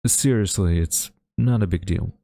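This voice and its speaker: Tsundere male college rival, acted by a man: mellow, creaky young voice, halting and guarded, close dry audio-roleplay sound. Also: low-pitched, dry recording. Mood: hesitant, nervous. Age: young adult. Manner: flustered, grumbling, halting denials. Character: tsundere young male university student rival, defensive, secretly smitten. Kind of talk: romantic audio roleplay acting.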